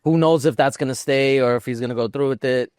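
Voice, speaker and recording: Man, podcast co-host, smooth clear tone, dry studio-like sound, casual opinionated gaming chat. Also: mid-range voice, fast, darkish tone, no echo.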